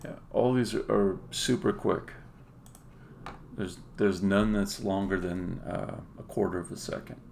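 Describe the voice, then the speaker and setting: A man giving a casual live-stream demo as a game developer, halting and thinking aloud as he goes. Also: sits low, fairly even intonation, creaky, darkish tone. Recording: noisy, with keyboard typing and clicking.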